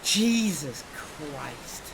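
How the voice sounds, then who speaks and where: A man streaming a game, hoarse and gravelly from a cold, exclaiming and swearing excitedly as he plays. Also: on the high side, drawn-out words. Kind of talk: live gameplay commentary. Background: storm chase game sounds, wind and vehicle.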